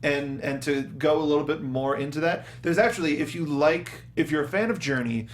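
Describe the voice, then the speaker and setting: A man's voice: fairly high pitch, a little fast. Male talk show host, noisy background. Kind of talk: casual panel chat about video games.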